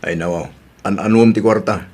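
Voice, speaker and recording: A man's voice: fairly low pitch, moderate pace, darkish tone, husky. A man acting a concerned, questioning working husband in an Ilocano audio drama.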